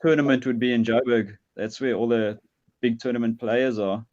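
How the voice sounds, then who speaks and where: A man's voice: mid-range voice, steady tone, normal speed, darkish tone, dull audio. Matter-of-fact man. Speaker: guest paintball player. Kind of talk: casual chat.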